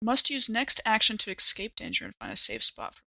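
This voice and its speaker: A woman's voice: mid-range voice, huge pitch swings, a little fast, creaky, sharp tone, no echo, low-quality recording. A woman playing a tabletop roleplaying game, chatting casually and describing her character's moves. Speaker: player on an actual play podcast. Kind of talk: casual tabletop gaming chat.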